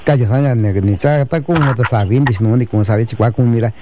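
A man's voice: mid-range voice, quick pace, background noise. A man hosting a community radio show, sending long lists of greetings to towns and listeners. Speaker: radio host. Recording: radio broadcast.

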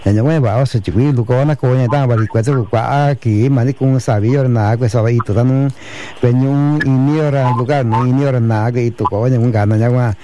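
A man hosts a community radio broadcast with a mellow, darkish voice. He reels off long strings of greetings to towns and listeners, and the sound carries the feel of a radio transmission. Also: medium pitch, steady tone, moderate pace, highs cut off, a little hiss or hum. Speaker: radio host.

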